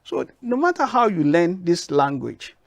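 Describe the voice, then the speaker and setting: Nigerian guy in an interview, kinda high voice, dry close sound, leans into his points. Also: very animated, a little slow, vocal fry, no room sound. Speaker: guest. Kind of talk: interview answers.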